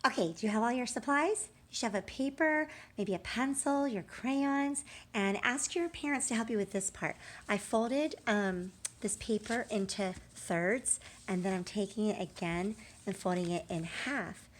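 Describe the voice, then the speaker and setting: Woman teacher guiding young children. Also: mid-range voice. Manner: prompts with questions, pauses, affirms answers, drawn-out emphasis on key words. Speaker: early literacy teacher. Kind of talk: read-aloud lesson for young children.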